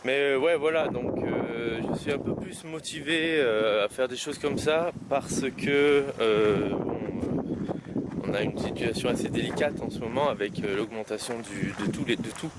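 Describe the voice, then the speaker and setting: A man's voice: mid-range voice, measured pace. Rambling man vlogging outdoors in wind. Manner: rambling, many hesitations and restarts. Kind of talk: casual vlog talk while hiking. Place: outdoors on mountain trails in wind. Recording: loud wind noise on the mic.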